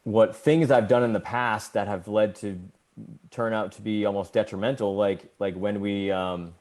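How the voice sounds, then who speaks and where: A man co-hosting a podcast, talking earnestly in a casual spiritual chat, rambling a little and getting animated as he tells stories. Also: lowish voice, normal speed. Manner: earnest, rambling, gets animated when telling a story. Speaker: podcast co-host.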